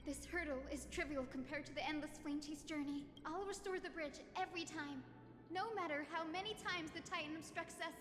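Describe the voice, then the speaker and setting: Casting: female actor as young game heroine, high voice, gentle yet resolute, formal, in echoing space with music. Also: lightly acted. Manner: earnest, formal, measured. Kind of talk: dramatic game dialogue.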